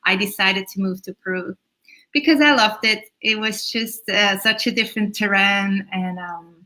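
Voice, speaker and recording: A woman's voice: mid-range voice, bright, forceful tone, rough recording. A woman in her thirties from Switzerland, a yoga teacher speaking casually as an interview guest, with Swiss German as her first language. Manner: chatty, laughs between thoughts.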